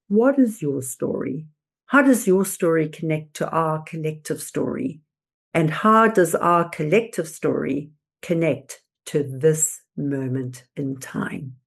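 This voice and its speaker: A woman presenter delivering scripted motivational narration in a deliberate, emphatic, oratorical manner. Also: sits low, darkish tone. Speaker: host and presenter.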